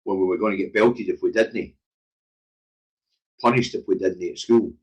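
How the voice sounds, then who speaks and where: Man, Glaswegian Scottish accent, lowish pitch, husky, blunt, opinionated, conversational livestream commentary. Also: normal speed. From Scotland. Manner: blunt, emphatic, sweary, conversational. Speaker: livestream current affairs show host. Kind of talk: opinionated casual live commentary.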